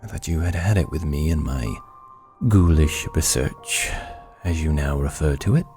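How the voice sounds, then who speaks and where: An actor playing an aloof, obsessive scientist in a horror audio drama, speaking formally and dismissively. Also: male voice, extremely low pitch, moderate pace, warm tone, soft background music.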